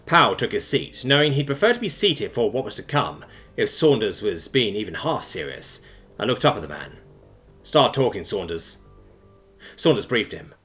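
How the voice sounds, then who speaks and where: A man reading a science fiction story aloud as a scripted, expressive audiobook narration. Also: mid-range voice, a little fast, soft background music.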